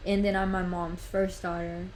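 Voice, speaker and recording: A woman's voice: fairly low pitch, little pitch movement, moderate pace, a little mellow, slight background noise. A woman of nineteen whose first language is Spanish, hosting a casual podcast chat and rambling from topic to topic with many "like"s and "literally"s. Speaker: podcast host.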